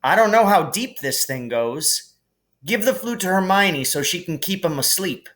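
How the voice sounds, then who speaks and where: A man voicing a blunt, sarcastic and excitable young boy in a storybook, with an exasperated manner. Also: medium pitch, moderate pace, voice pushed up, lightly acted. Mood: concerned. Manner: snarling, exasperated.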